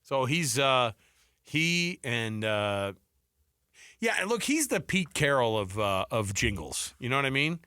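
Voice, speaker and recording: A middle-aged man hosts sports talk radio in a bright, very animated voice. He banters casually and repeats phrases for emphasis. The sound is dry and close. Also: medium pitch, measured pace, smooth, no room sound. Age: 40s or older. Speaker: sports radio show host.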